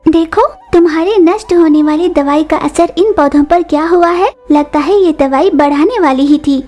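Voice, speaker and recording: A woman's voice: very high-pitched, moderate pace, dark tone, pitched above the performer's own voice. A woman voicing an indignant, demanding small female bird with a scolding, insistent manner in a cartoon story. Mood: indignant, impatient.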